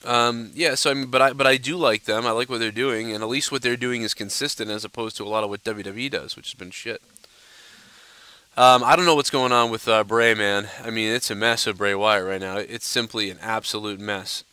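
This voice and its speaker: Young guy ranting on his stream, kinda stuffed up and nasal, bright crisp voice. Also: fairly low pitch, steady tone, a little fast, no echo. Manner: blunt, ranting, loose and profane. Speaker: livestream host answering viewer questions. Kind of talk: casual live-stream chat.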